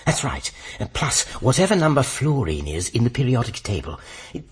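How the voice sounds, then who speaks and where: Flustered, husky upper-class British officer. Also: male voice, mid-range voice, big pitch swings, moderate pace. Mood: flustered urgency. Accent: British, upper-class English. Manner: stammering, exasperated politeness. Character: flustered, polite British RAF officer, clipped and indignant. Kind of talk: comic radio play acting.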